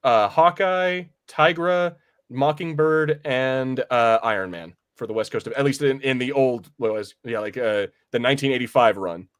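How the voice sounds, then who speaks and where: A man co-hosting a podcast, chatting casually about pop culture in a rambling, halting way and often repeating phrases. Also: mid-range voice, fairly bright tone, smooth. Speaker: podcast co-host. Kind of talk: casual film and comics discussion.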